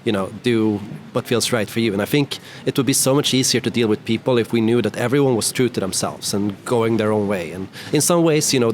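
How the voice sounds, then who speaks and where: Host, Swedish-native man, lowish voice, loose and chatty, faint noise. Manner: casual, many fillers like "you know" and "right". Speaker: host, interviewer. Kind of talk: conversational interview.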